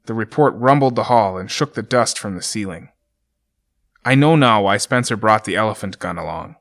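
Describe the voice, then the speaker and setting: A man's voice: on the low side, expressive, creaky, a bit bright. A man voicing a haunted, doomed ranger. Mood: grim. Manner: grim, somber, measured. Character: doomed male ranger writing a last journal, haunted and grim. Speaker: journal narrator in an audio drama. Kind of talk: dramatic reading of a journal.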